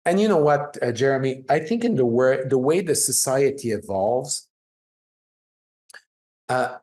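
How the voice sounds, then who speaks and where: A man's voice: medium pitch, a little slow. A middle-aged man with a French Canadian accent, French his first language, speaking as a guest in an interview, telling stories with emphasis and frequent restarts. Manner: animated, emphatic storytelling with restarts. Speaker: PR agency founder, guest. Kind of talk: conversational interview.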